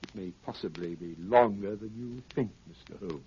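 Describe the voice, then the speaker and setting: An actor playing a cold, menacing criminal mastermind in a 1930s radio drama, with a measured, formal delivery and veiled threats. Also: male voice, fairly low pitch, warm tone, lightly acted. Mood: cold menace. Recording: muffled, noisy 1930s radio recording.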